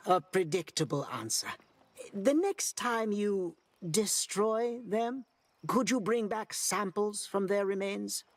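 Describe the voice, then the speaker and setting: Impatient game scholar lady, husky lowish voice, really animated, formal n theatrical. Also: takes it slowly. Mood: pondering, dry. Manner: theatrical, exasperated, formal wording. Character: impatient, curious scholar woman studying ancient ruins. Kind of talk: video game character acting.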